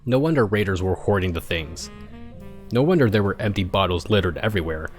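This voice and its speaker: Low-voiced man reading a story over music. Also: lively intonation, brisk. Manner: stumbles and repeats phrases. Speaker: reader of fan fiction, channel host. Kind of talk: expressive amateur story reading with casual asides.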